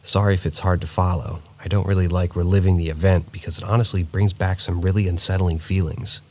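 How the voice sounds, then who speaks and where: A man narrating true paranormal stories in a steady, somber reading voice. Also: deep voice, a little fast.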